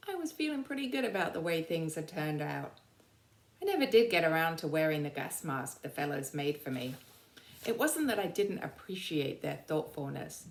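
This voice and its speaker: A woman narrating a children's storybook aloud with dramatic, deliberate emphasis. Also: low-pitched, echoey room. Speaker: teacher reading aloud.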